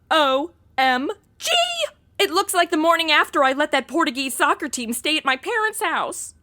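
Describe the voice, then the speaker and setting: Brash, high-pitched ditzy young woman. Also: sing-song, moderate pace, pushed and bright, exaggerated voice. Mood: sassy, clueless. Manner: blunt, slangy, valley-girl phrasing. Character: brash, ditzy modern young woman stranded in 1940s mysteries. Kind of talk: comedic audio drama acting.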